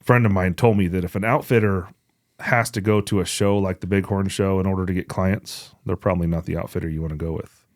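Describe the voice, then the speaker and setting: Casting: man with a low voice, blunt and sweary podcast co-host, casual delivery, dry close sound. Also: steady tone, brisk, no echo. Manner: blunt, profane. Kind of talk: casual podcast chat about hunting and events.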